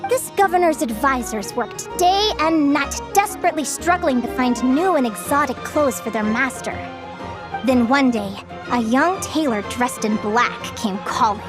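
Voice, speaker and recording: High-voiced, gentle young woman storyteller. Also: animated, over music. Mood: storytelling, composed. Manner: measured, articulate, enthusiastic about lore. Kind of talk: storytelling in an English game dub.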